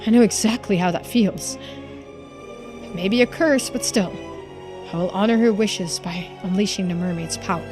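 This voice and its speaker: A woman's voice: mid-range voice, normal speed, over music, lightly acted. A woman voicing a guilt-ridden, determined schemer in a mystery game, reading the dialogue aloud with earnest theatricality. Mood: anguished resolve. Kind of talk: dramatic read-aloud of visual novel dialogue.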